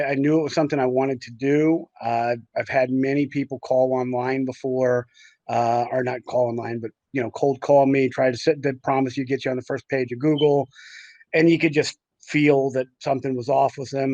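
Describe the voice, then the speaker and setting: A man answering interview questions casually as a client, in a halting way, often rephrasing himself. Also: medium pitch, level tone, darkish tone, no echo, lo-fi sound. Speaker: interviewed client, family law attorney.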